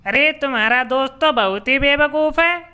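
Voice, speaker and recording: Cartoon witch, acted by a male narrator: scheming, gloating menace, shrill high pitch, forceful delivery. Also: soft background music, heavily acted. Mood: menacing glee. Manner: gloating, drawn-out threats, cackling.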